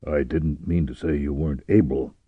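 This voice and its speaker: Audiobook role: dutiful, gruff sergeant, bottom-of-the-range voice, plain-spoken, slightly muffled recording. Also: male voice, steady tone, normal speed, no echo. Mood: driven, practical. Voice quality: low, rough, husky. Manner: plain, terse, deferential. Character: gruff, dutiful male sergeant bodyguard, grimly apologetic. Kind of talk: audiobook character voice.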